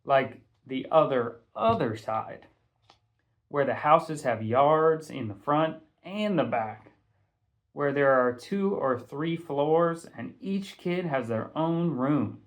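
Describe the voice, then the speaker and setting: A man narrating a first-person audiobook in a conversational, expressive storytelling manner. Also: a little high, slow. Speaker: teacher reading a novel aloud.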